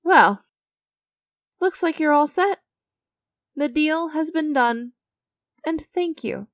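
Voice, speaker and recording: A woman's voice: high voice, takes it slowly, no echo, studio quality. A woman playing an enigmatic, creepy stranger who strikes sinister deals in a dramatic audio roleplay, teasing and theatrical with a smug, coaxing manner. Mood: smug, playful.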